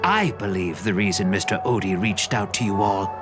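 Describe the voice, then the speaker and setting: An actor playing a weary, self-deprecating dead film director in a video game, with resigned and dramatic phrasing. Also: male voice, on the low side, normal speed, close to an everyday voice. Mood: resigned, courteous. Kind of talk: video game character dialogue. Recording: game dialogue over clear background music.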